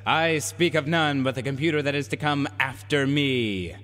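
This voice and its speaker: A man's voice: mid-range voice, normal speed, a bit bright, faint music behind, lightly acted. A man playing a pompous, haughty supercomputer in a science fiction radio drama, declaiming in grandiose archaic phrases. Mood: solemn, prophetic. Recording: long reverberant hall echo.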